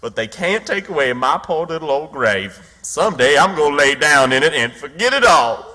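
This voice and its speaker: A man's voice: fairly high pitch, very animated, normal speed, pushed and bright, in a large hall. A man acting in period radio theatre as a self-pitying con man posing as a wronged duke, melodramatic and theatrically mournful.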